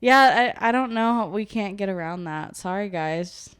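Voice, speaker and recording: A young woman co-hosts a casual podcast chat with a smooth, clear voice. She talks in a chatty, self-mocking way. Her pitch is ordinary for a woman. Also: expressive, unhurried. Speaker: podcast co-host.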